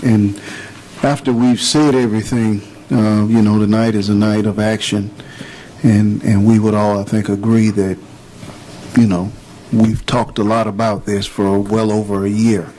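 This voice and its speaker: A man chairing a city commission meeting, speaking in measured, deliberate formal remarks. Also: on the low side, darkish tone, a little hiss or hum, poor audio.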